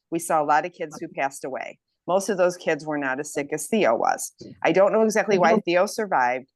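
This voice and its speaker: Woman, 50s, low voice, dry close sound; casual, eager testimonial. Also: dry recording, poor audio. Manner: enthusiastic, reads quotes aloud. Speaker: health program member. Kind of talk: casual group chat testimonial.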